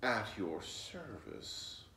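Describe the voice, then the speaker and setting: A man's voice: on the low side, sing-song, extremely slow, bright, forceful tone, a little hiss or hum, heavily acted. A man voicing a vain, sly, genteel male fox in a children's storybook, suave and haughty with formal diction. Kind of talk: children's storybook read-aloud. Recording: roomy echo, distant mic.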